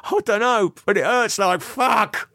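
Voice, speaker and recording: A man doing a desperate thug, high and strained. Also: a little slow, gravelly, no echo, studio quality, heavily acted. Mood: pleading. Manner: shouting, pleading, swearing. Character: desperate injured man, crude and manipulative.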